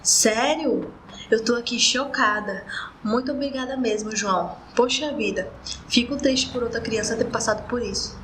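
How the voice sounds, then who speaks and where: Woman as young girl, radio drama, Northeastern Brazilian accent, slightly high, exclamatory, indignant, faint noise. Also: normal speed, a bit bright, close to an everyday voice, low-quality recording. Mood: shocked, sad. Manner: colloquial, exclamatory. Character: indignant young girl named Letícia, shocked, grateful cousin. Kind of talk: radio soap dialogue.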